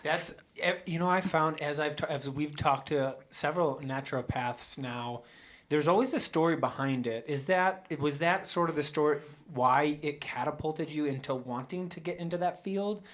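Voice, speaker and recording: A man hosting a podcast interview, asking questions with many pauses and restarts. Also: a little high, fairly bright tone. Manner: halting, many fillers and restarts. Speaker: podcast host.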